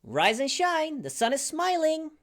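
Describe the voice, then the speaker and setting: A woman's voice: high voice, huge pitch swings, unhurried, pitched above the performer's own voice, exaggerated voice. A woman voicing a peppy, cutesy character who cheerfully wakes the listener, in short voice pack lines.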